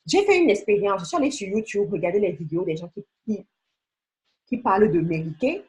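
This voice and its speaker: A woman's voice: mid-range voice, animated, brisk, darkish tone. A woman giving a motivational sales training talk, emphatic and coaxing, speaking straight to the listener. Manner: emphatic, coaxing, addresses listener directly with rhetorical questions. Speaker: beauty sales team leader and trainer.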